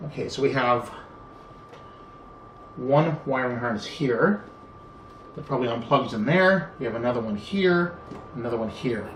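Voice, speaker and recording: Man talking through a repair, echoey room. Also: mid-range voice. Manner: unhurried thinking aloud, trailing pauses. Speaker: hobbyist video presenter. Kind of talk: unscripted hands-on repair walkthrough. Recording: echoey room with faint background noise.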